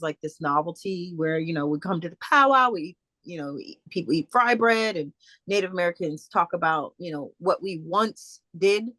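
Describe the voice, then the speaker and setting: A woman speaker answering audience questions in a casual, halting way, with many fillers and restarts over a video call. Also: lowish voice, a bit bright, no echo. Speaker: guest speaker.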